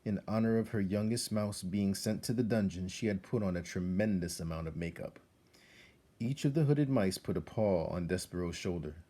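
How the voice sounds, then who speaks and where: A man reading a children's story aloud as narrator, expressive and measured, with dramatic delivery. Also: deep voice. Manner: measured, dramatic, addresses the listener.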